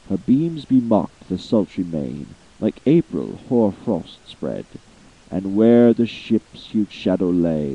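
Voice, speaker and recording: A man giving a dramatic poetry reading as voice-acting practice, expressive and emphatic, lingering on repeated words. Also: sits low, husky, highs cut off. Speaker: amateur voice actor reading a poem.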